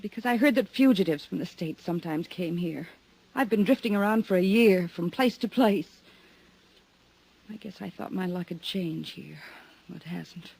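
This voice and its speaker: An actress playing a weary, guarded woman stranded abroad in a 1950s radio drama, speaking softly and pleadingly. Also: on the low side, moderate pace, gravelly, husky, low-quality recording. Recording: old radio broadcast recording.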